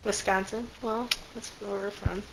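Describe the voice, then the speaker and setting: A woman's voice: medium pitch, fairly even intonation, moderate pace, very noisy. A woman gaming with friends in voice chat, joking and swearing freely as she plays. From Taiwan-born, raised in many places. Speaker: gamer in a voice-chat squad. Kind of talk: casual game voice chat.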